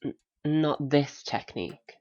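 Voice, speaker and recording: A man's voice: fairly high pitch, slow, a little mellow, dull audio. A man playing a mild, doubtful listener in a naturalistic audio drama, answering in short, tentative, questioning replies. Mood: doubtful, agreeable.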